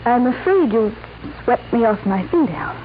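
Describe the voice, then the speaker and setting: A woman's voice: fairly high pitch, expressive, normal speed, lightly acted. An actress playing an earnest, trusting woman in a 1940s radio crime drama. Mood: smitten. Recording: noisy, tinny 1940s radio recording.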